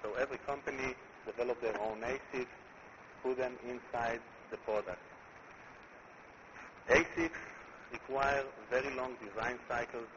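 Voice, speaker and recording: A man with an Israeli accent, a company chief executive giving a conference presentation in a deliberate way, repeating words as he builds his points. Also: mid-range voice, steady tone. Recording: muffled recording with clear background noise.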